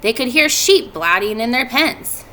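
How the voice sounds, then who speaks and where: A woman in her thirties, a teacher reading a storybook aloud to pupils, expressive and clearly phrased. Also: fairly high pitch, unhurried, slight background noise. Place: indoors at home by a fireplace.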